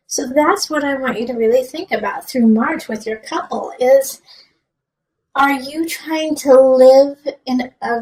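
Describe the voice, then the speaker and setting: Female tarot reader, higher-pitched, conversational and encouraging, emphatic when advising the listener. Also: a little mellow, rough recording. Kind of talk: conversational tarot reading with advice.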